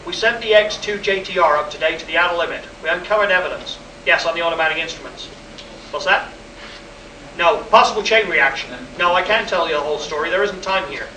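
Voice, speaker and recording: Urgent, high-pitched man playing an air base chief. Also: quick pace, pushed and bright. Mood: grave, pleading. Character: Hank Hanson, urgent air base project chief under pressure. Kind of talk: live sci-fi radio play acting. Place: live convention performance, recorded from the room. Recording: echoey distant room recording with faint noise.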